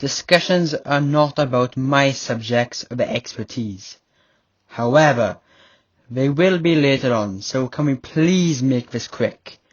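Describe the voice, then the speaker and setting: A man's voice: mid-range voice, unhurried, no room sound, muffled recording, close to an everyday voice. A man playing a busy, impatient nobleman in a fantasy audio roleplay, with a formal, haughty way of talking.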